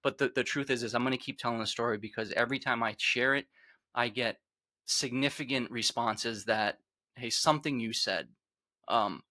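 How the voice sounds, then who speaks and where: A man's voice: medium pitch, moderate pace, no room sound. A man in his forties with a Rhode Island accent, earnestly telling his personal story as a podcast guest. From Rhode Island, USA. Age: 40s. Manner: earnest, reflective, trails off quietly.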